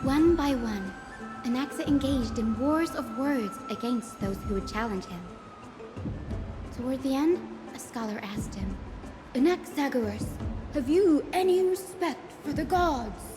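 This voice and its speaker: An actress playing a learned, gently wry young female companion who tells stories in a scripted video game. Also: high-pitched, expressive, a little slow. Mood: mock-solemn quoting. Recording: game music under the voice. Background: background game music.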